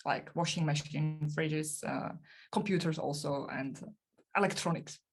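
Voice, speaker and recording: A woman's voice: sits low, measured pace. A young woman, a podcast guest, answering interview questions casually with many fillers and restarts. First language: not German.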